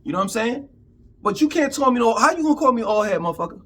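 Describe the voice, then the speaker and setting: A man's voice: very high-pitched, expressive, racing, a bit bright, a little hiss or hum. A man in his fifties giving an emphatic, profane, preachy rant to camera in street talk. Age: 50s. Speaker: vlogger.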